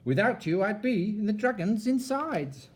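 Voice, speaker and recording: A man voicing an excitable, kindly female witch in exclaiming cries for a storybook. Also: high-pitched, moderate pace, pitched above the performer's own voice. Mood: grateful.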